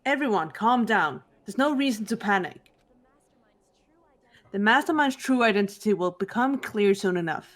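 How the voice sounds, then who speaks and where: Woman playing a calm, analytical teen girl. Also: medium pitch, close to an everyday voice. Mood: composed. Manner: calm, measured. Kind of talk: fan voice acting of a game.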